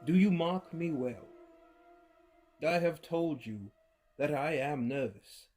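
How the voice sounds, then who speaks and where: A man giving a theatrical horror reading as an unhinged male murderer, gloating and feverish, dropping to hushed murmurs and rising to loud outbursts with low chuckles. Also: animated, slow pace, soft background music. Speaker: narrator reading a horror tale. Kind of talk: dramatic storytelling reading.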